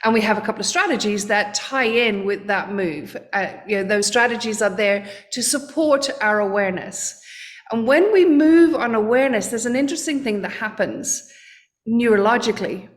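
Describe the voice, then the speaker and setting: A woman's voice: on the high side, expressive, moderate pace. A woman, a guest on a podcast interview, explaining her ideas earnestly and often repeating words as she searches for them. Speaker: guest, trading coach.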